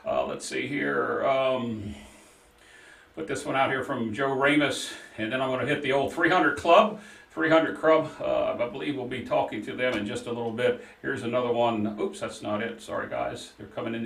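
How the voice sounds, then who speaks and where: A man's voice: medium pitch, normal speed, creaky, fairly bright tone, roomy sound, recorded from a distance. A man co-hosting a live call-in show, chatting and reading out viewer messages. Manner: chatty, runs the chat on air. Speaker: co-host.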